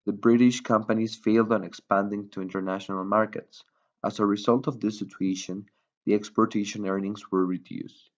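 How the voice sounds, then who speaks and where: Muffled lo-fi recording, man, lowish pitch, formal scripted newsreel narration, wordy delivery. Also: flat delivery, moderate pace. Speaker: newsreel reporter. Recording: dull lo-fi voice recording.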